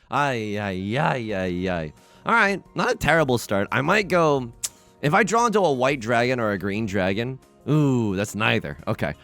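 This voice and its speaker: A man hosting a game let's play, thinking aloud in playful, self-mocking banter that rambles with restarts and swings from hushed asides to excited bursts. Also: medium pitch, dry recording. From United States. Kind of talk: casual gameplay commentary.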